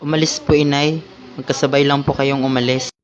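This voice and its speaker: A man's voice: medium pitch, flat delivery, fairly quick, a little hiss or hum, natural voice. A man acting a dutiful, peacemaking teenage son in an amateur Filipino radio drama. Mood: respectful. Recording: dull lo-fi sound, music bed.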